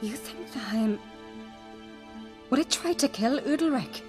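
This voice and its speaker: An actress playing a clever, determined young noblewoman who speaks in a brisk, confident, wry way. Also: high-pitched, animated, over music.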